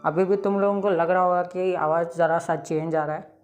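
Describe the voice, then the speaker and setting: A man's voice: fairly high pitch, steady tone, brisk, highs cut off, faint music behind. A teenage boy with a slightly sore throat, hosting his channel and casually recapping a cartoon episode with lots of fillers. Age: late teens. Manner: chatty, full of "matlab" fillers. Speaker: YouTube channel host.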